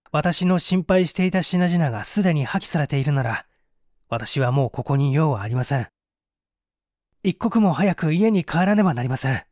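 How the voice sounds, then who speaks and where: A man voicing an earnest, composed young gentleman, formal and measured, in an audiobook drama. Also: a little high, no room sound, studio quality. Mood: resolute.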